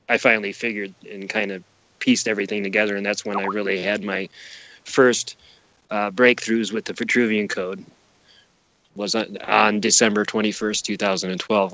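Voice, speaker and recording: Male podcast guest, gravelly fry, earnest and wandering explainer, casual interview delivery. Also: on the low side, sharp tone, dry recording, rough recording.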